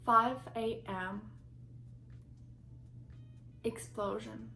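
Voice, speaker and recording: A young woman with a Ukrainian accent, reading her own story from notes in a halting way with many fillers and restarts. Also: on the high side, fairly even intonation, vocal fry, bright, forceful tone, soft background music, poor audio. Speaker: vlogger.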